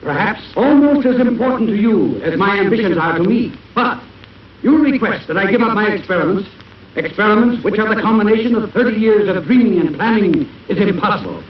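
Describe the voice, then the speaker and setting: Echoey, high-voiced mad professor villain. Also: male voice, lively intonation, fairly quick, long pauses. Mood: grandiose, resolute. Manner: grandiose, formal, theatrical. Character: obsessed, arrogant male scientist villain, older, menacing. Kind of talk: 1940s cartoon voice acting. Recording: dull vintage film soundtrack, distant, echoey, hissy.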